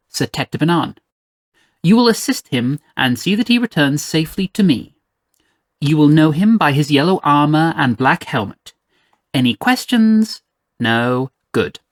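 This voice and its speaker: A man voicing a haughty, condescending fairy queen in a storybook tale, with an imperious, clipped manner. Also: a little high. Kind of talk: acted storybook dialogue.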